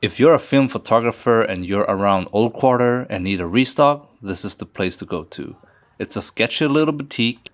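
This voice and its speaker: A man from Sweden narrating a travel vlog in a playful, joking manner. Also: on the low side. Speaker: travel vlogger. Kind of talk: voice-over narration. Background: faint music.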